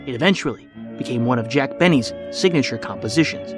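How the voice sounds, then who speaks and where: A man hosting a podcast with scripted documentary narration in a measured, clearly phrased announcer delivery. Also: medium pitch, expressive, over music. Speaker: podcast host.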